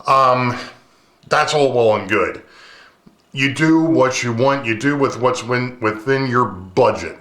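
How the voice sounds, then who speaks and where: A man hosting his own hobby channel, talking casually to his camera with a booming voice, rambling and full of ums, recorded on a camcorder's built-in mic. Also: takes it slowly, a bit bright. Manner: rambling, chatty, many ums. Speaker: model railroad channel host. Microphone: camcorder's built-in mic, no lapel mic. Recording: echoey room.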